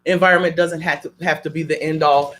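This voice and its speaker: A man's voice: fairly high pitch, little pitch movement, fast, a bit bright. A man on a radio talk show, speaking in a preacherly way and building his points with strings of repeated questions. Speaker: guest.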